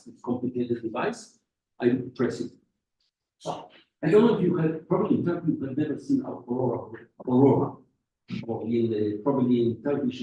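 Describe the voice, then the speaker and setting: Halting male lecturer in an echoey room. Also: medium pitch, warm tone, far from the mic, muffled recording, a little hiss or hum. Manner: halting, restarts phrases. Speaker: guest lecturer. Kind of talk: physics lecture.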